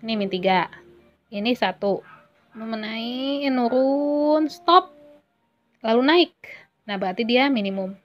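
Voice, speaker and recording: A woman's voice: fairly high pitch, expressive, highs cut off. A woman math teacher, patiently walking through a worksheet and correcting herself as she goes. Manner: patient, self-correcting, stretched-out pauses. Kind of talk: explaining a worksheet lesson.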